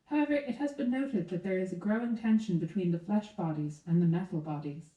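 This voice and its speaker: Woman acting a dark-voiced, officious factory supervisor. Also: fairly low pitch, a little fast, recorded from a distance, muffled recording, natural voice. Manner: formal, matter-of-fact. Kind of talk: radio play acting. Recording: echoey room, away from mic.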